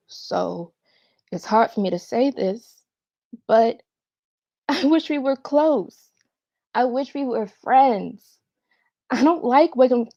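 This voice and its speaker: A hurt, long-suffering caregiver played by a woman pleads for respect in a stage monologue. Her voice is fairly high and very mellow, with slightly dull online audio. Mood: gentle, reluctant. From Georgia, United States. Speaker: auditioning actor. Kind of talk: dramatic monologue.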